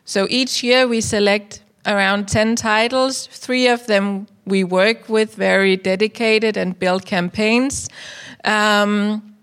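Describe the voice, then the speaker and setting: A woman's voice: mid-range voice, steady tone, slow pace, a bit bright, clean tone. A woman introducing her work informally as a panelist at a live talk. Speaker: panelist, project manager. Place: talk in front of an audience.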